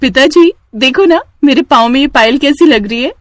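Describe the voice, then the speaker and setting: A woman's voice: high-pitched, moderate pace, no room sound, studio quality, pitched above the performer's own voice, natural voice. A woman voicing a sweet, trusting young village daughter with an earnest, girlish manner in a cartoon. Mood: delighted. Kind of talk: cartoon character acting.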